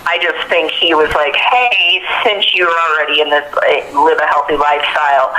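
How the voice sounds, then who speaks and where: A woman's voice: deep voice, fairly even intonation, normal speed, bright, forceful tone. A young American woman giving a recorded phone interview, recounting things in an informal, emphatic way and repeating herself for stress. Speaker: witness being interviewed by police. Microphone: telephone. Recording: telephone call audio.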